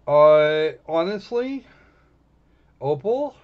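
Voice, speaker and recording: A man hosting a livestream, giving casual, snarky commentary on a game with halting pauses. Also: a little high, animated. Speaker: livestream host. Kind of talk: casual live commentary on a visual novel. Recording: dull lo-fi microphone sound.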